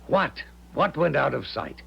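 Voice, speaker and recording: Gravelly, animated, genteel father. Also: on the high side, unhurried, full character voice. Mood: exasperated, indulgent. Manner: formal, theatrical. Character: genteel middle-aged American father, practical and exasperated. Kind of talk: 1970s radio drama acting and narration. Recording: muffled, noisy 1970s radio recording.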